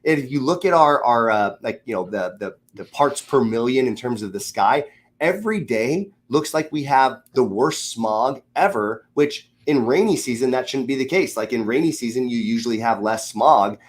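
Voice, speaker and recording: Socal guy, kinda nasally, rambling on excitedly in a casual chat. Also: mid-range voice, normal speed. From Southern California. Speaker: guest.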